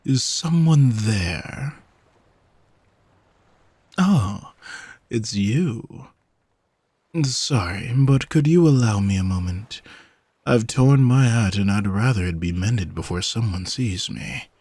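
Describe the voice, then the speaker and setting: Man acting a slow, husky, vain gentleman sea monster. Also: lowish voice, animated, no echo, heavily acted. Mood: evasive, polite. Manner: haughty, formal, teasing, drawn-out phrasing. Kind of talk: scripted audio roleplay, spoken to the listener.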